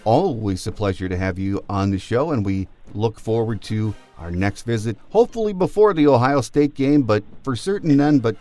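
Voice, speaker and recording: An American man hosting a sports podcast, speaking in a measured, conversational way as he interviews and comments. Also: fairly low pitch, lively intonation, mellow, soft background music. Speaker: podcast host.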